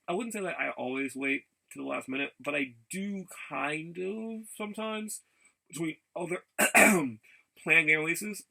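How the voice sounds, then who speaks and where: A man rambling casually as a vlogger, enthusiastic about his hobbies, often halting, repeating words and backtracking. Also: a little high, gravelly, pushed and bright, rough recording. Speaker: vlogger showing game and figure pickups. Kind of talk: casual rambling vlog chat.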